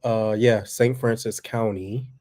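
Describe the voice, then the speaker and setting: A man's voice: lowish voice, slow. A man in his thirties, co-hosting a home livestream in casual, rambling chat. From Texas. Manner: relaxed and rambling, with many fillers. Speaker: livestream co-host. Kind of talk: casual chat reading viewer comments.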